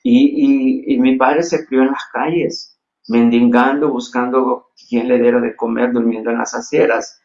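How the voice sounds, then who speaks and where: A man's voice: mid-range voice, animated, a little slow, gravelly, a little mellow, highs cut off. A man from Honduras with a Honduran Spanish accent, preaching a sermon earnestly with frequent restarts and fillers. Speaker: pastor.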